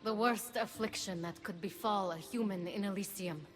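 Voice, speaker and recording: A woman's voice: medium pitch, faint music behind, lightly acted. Woman acting a stern, imperious divine mentor. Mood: solemn, instructing. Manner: imperious, measured, solemn. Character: stern, commanding divine female mentor, coolly disdainful of feelings. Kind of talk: video game cutscene acting.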